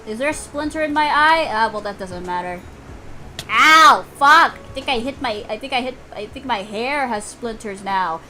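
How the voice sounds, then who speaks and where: High-pitched, animated woman streaming a game. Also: normal speed, faint music behind. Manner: playful, exclamatory, swears freely. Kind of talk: casual live gameplay commentary.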